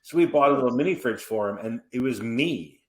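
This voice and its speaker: A man in his forties hosting a podcast, telling stories in an animated, rambling way with many restarts and asides. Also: mid-range voice, a little fast. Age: 40s. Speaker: podcast host. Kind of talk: casual conversational interview. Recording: roomy echoey room.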